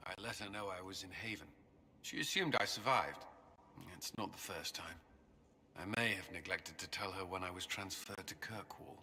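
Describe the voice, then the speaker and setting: A man's voice: sits low, normal speed, sharp tone, husky, full character voice. An actor playing a guilt-ridden male templar commander in a video game, speaking solemnly and earnestly. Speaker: game character.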